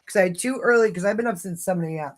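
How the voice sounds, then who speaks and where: A woman hosting a livestream who talks candidly about recovery, her voice hoarse and fading, rambling with many fillers. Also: medium pitch, very fast. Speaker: livestream host. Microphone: phone.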